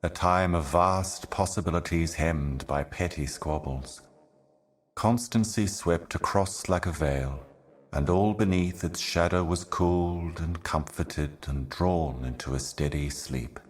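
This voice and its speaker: Game role: ancient eternal envoy of darkness, bottomless low voice, slow, solemn, portentous delivery. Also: male voice, a little hiss or hum. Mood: grave, ominous. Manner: grave, brooding, measured, portentous. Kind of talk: scripted game monologue, cryptic poetic narration.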